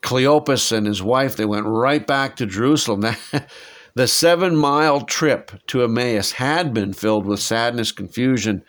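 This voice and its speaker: A man's voice: medium pitch, a little slow. A man with a head cold and lingering cough, teaching a Bible passage in a conversational way and asking his listeners rhetorical questions. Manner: warm, musing, asks listeners rhetorical questions.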